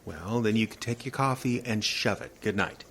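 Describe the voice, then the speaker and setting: Guarded, deep-voiced actor as an irritable guy. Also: normal speed, creaky, a bit bright, slight background noise. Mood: exasperated. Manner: defensive, wary, exasperated. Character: guarded, irritable regular guy haunted by nightmares. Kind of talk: naturalistic audio drama acting.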